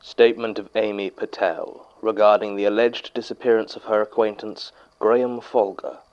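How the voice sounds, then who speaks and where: A British archivist played by a man reads an eerie testimony in a horror audio drama. His voice sits low with a gravelly creak, measured and confiding, as if remembering aloud. The recording is slightly dull and muffled. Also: fairly even intonation, a little mellow, natural voice. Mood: formal, grave. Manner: measured, confiding, with scripted hesitations. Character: archivist reading aloud a witness's unsettling statement, formal then conversational. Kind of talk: dramatic first-person horror narration.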